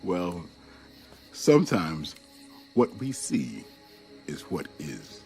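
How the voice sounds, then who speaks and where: A man's voice: low-pitched, wildly swooping pitch, very slow, gravelly, darkish tone, faint music behind, exaggerated voice. A man playing an amused, cryptic otherworldly sage in a radio play, giving terse, knowing answers.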